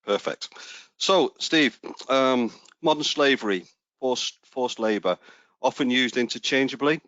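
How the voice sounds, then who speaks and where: A man's voice: medium pitch, unhurried, fairly bright tone, no echo. A man, hosting a webinar interview, talking hesitantly with many restarts over a video call. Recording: dry lo-fi video call audio.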